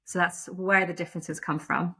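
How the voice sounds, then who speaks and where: A woman's voice: lowish voice, little pitch movement, normal speed, pushed and bright, slightly rough. A woman from the Netherlands, a lacrosse umpire talking thoughtfully and modestly as an interview guest. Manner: thoughtful, self-deprecating, frequent restarts and fillers. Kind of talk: casual interview conversation.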